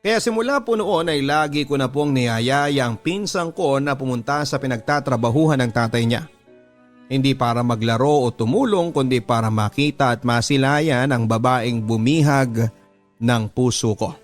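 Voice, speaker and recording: A man's voice: medium pitch, normal speed, faint music behind. A man with a Filipino accent narrating a scripted first-person love story in the style of a radio drama.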